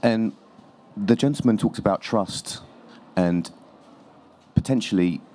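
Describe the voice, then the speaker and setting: A man's voice: fairly low pitch, slow, dark tone. A man from an NGO asking a long, hesitant question at a conference session. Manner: long-winded, hesitant phrasing. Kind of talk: audience question. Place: conference pavilion.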